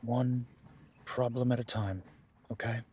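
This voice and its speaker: A man playing a weary, guarded werewolf duke in a fantasy audio drama, speaking in a measured, dry way with a husky voice. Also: medium pitch, steady tone, dark tone. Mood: resigned, cautioning.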